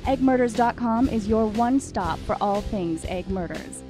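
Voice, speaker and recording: A woman's voice: on the high side, measured pace. Female announcer over prominent music. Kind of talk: scripted promo read.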